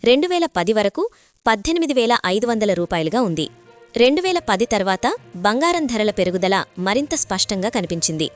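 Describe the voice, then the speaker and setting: A woman's voice: medium pitch, sing-song, brisk, faint music behind. A woman narrating a scripted news report.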